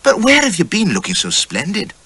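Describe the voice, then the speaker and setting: Squealing, high-pitched young English wife. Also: male voice, huge pitch swings, fairly quick, bright, forceful tone, slightly rough, dry recording, exaggerated voice. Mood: scolding, coaxing. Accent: upper-class English. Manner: gushing, exclamatory. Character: excitable, snobbish, flighty young upper-class English wife.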